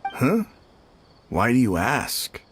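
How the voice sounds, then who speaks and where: A man's voice: mid-range voice, wildly swooping pitch, slow pace, slight background noise. A man playing a casual, defensive, somewhat gossipy clerk in a video game. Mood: wary, chatty. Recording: faint game music behind voice.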